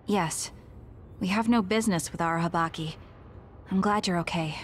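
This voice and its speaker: A woman's voice: on the low side, normal speed, lightly acted. A young woman playing a composed, serious squad leader in an anime game. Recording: music behind voice.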